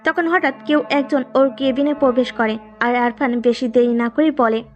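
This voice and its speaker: High-voiced woman narrating a romantic story. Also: fairly even intonation, moderate pace, darkish tone, lo-fi sound, faint music behind.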